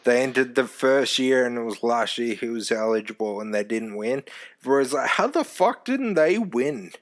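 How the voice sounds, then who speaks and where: A man with a deep, loud voice, telling animated stories in casual chat and swearing casually. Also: moderate pace. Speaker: former youth music event host.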